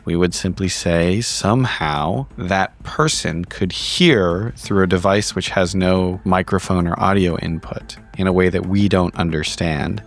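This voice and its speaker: A man improvising narration as a player in a tabletop role-playing game, analytical and precise with his words. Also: low-pitched.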